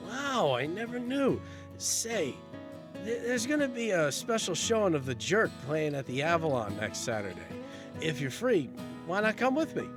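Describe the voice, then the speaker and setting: A man's voice: sits high, sing-song, normal speed, music in the background. A man playing a chatty, upbeat, friendly guy in an indie audio drama, eager and conversational. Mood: friendly, hopeful.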